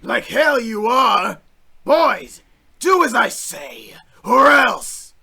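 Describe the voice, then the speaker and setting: A woman voicing a hot-tempered male devil gang leader, shouting slow and gravelly. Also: a little high, sing-song, slight background noise, exaggerated voice. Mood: threatening.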